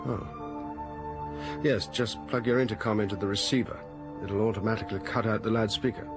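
An actor playing a brisk, skeptical male spaceship captain in a science-fiction radio drama, questioning what he is told. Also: fairly low pitch, steady tone, music in the background, close to an everyday voice. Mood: businesslike.

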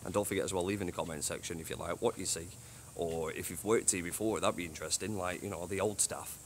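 A man who cleans a train station, giving a casual walking tour on his phone, chatty and rambling, with a deep voice. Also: fairly even intonation, fast, slight background noise. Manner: chatty, rambling, says "you know what I mean". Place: old train station toilets and platforms at night. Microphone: handheld phone.

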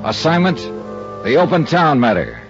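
An actor playing a clipped, wry insurance investigator who narrates a 1950s radio drama. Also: male voice, fairly high pitch, huge pitch swings, normal speed, vocal fry, exaggerated voice. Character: hard-boiled insurance investigator man. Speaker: detective and narrator. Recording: dull 1950s radio recording with music.

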